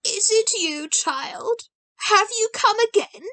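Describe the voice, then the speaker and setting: A woman voicing a frail, gentle old grandmother who speaks tenderly and with surprise. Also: very high-pitched, slow pace, no echo, voice pushed up, exaggerated voice. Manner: gentle, surprised, softly pleading.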